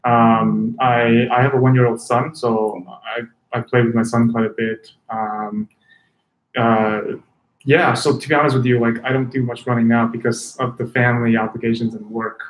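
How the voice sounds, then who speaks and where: A man's voice: medium pitch, level tone, normal speed. Man from China in an echoey room. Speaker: interviewee, tech company executive. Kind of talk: casual interview chat. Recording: roomy, echoing sound.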